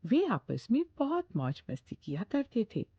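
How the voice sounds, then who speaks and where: A woman narrates a children's cartoon story slowly, with a lively rise and fall. Her voice is low and husky. The recording is dry and slightly dull. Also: dry recording.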